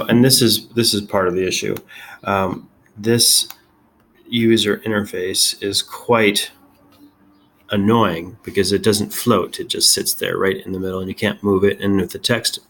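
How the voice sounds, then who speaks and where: A man giving a casual software demonstration as a tech video host, halting and thinking aloud as he goes. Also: low-pitched, gravelly.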